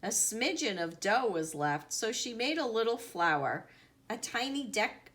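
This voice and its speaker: Slow, animated woman reading a story. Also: on the high side. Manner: deliberate, animated, with dramatic emphasis. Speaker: storyteller reading a children's book aloud. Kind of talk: expressive read-aloud narration.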